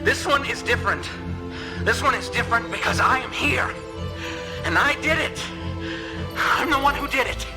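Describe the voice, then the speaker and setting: An actor playing a flustered, vain American senator in a radio drama, stammering, halting and boastful. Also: male voice, very high voice. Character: flustered, self-important male US senator, grandstanding and vain. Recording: music behind the voice.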